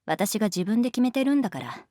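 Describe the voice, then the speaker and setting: A woman's voice: fairly high pitch, sing-song, quick pace, dark tone. An actress playing an easygoing, teasing woman teacher in a Japanese voice drama, speaking casually and bluntly. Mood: calm, caring. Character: easygoing, teasing adult woman teacher who loves beer.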